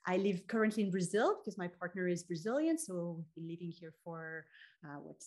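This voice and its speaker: A woman's voice: lowish voice, expressive, brisk, a little mellow. A middle-aged woman from France with a French accent, a love coach chatting earnestly as a guest and explaining her ideas with frequent "you know". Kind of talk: casual interview chat.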